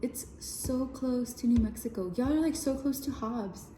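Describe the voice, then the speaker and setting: A woman's voice: on the high side, moderate pace, warm tone, echoey room, a little hiss or hum, rough recording. A woman, casually chatting and teasing her partner over food. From El Paso, Texas. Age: 20s. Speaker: co-host of a couples channel. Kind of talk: casual chat while eating.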